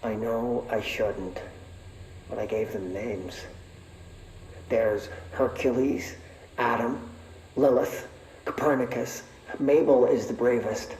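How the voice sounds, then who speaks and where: An actor playing a weary, wry researcher dictating an expedition log in a dry, deadpan, measured manner for a game. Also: male voice, medium pitch. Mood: musing. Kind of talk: recorded expedition log narration. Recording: roomy echo, faint background noise.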